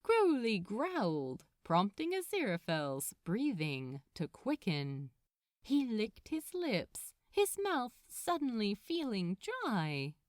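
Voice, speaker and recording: A woman reading fan fiction aloud as an expressive story narrator. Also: mid-range voice, takes it slowly, no echo, studio quality.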